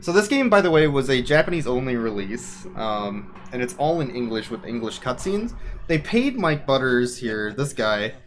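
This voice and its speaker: A man's voice: mid-range voice, very animated, moderate pace, a little hiss or hum. A man giving casual, explanatory live commentary as he plays through a game, with a wry streak. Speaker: speedrunner. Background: game music.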